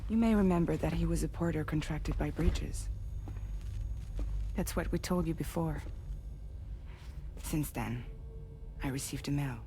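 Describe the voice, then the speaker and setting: An actress playing a composed, serious mission leader in a video game, speaking in a measured, grave way with a creaky voice. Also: female voice, slight background noise. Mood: businesslike. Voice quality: low, creaky, soft-edged. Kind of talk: video game cutscene acting.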